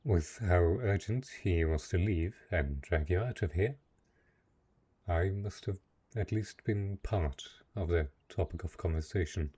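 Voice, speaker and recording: Man playing a deep, husky, brooding dark knight. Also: measured pace. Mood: apologetic, uneasy. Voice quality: husky, low voice. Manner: hesitant, earnest, formal phrasing. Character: lonely, possessive dark knight, lord of a forest castle. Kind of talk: dark fantasy romance audio roleplay.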